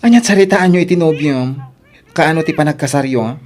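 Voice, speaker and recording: A woman's voice: sits low, a little fast, a little mellow, lightly acted. A woman with a Filipino accent playing a nagging, skeptical older sister in an audio drama. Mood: scolding. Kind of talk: Ilocano radio drama acting.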